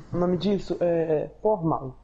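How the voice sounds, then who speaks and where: A man's voice: fairly high pitch, slow pace, warm tone, lo-fi sound. A man from Minas Gerais with a Mineiro accent, giving short laid-back replies in game voice chat. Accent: Mineiro Brazilian Portuguese. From Minas Gerais, Brazil. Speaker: random teammate on voice chat.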